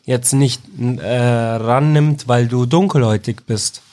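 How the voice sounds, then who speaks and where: A man's voice: mid-range voice, slow, darkish tone, dry recording. Dry-sounding male interviewer from Iraq. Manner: informal, rephrases his questions several ways. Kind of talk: casual youth interview.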